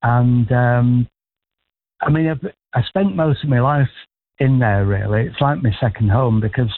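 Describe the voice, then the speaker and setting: A man's voice: mid-range voice, unhurried, no room sound. Sixty-year-old man on a tinny phone line. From Manchester. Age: 60s. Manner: warm, rambling, chatty. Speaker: caller. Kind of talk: casual phone-in chat. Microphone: telephone.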